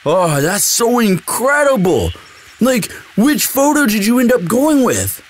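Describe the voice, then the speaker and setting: A man's voice: high voice, big pitch swings, unhurried, a little hiss or hum. A young man playing a sweet, dim, eager athlete boyfriend in a casual, intimate audio roleplay, with a slangy, drawling delivery. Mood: excited, proud.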